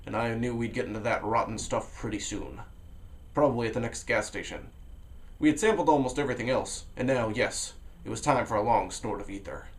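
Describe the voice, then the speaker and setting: Male vlogger reading a novel aloud, medium pitch, dramatic, leaping loud then dropping to a hush. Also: brisk. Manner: expressive, with sudden jumps in pitch and loudness; drops to a low, hushed voice for inner thoughts.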